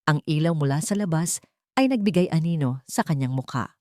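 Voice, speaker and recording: A woman's voice: sits low, animated, brisk, mellow, no echo, studio quality. A woman narrating a scripted dramatic story. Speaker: audiobook-style story narrator.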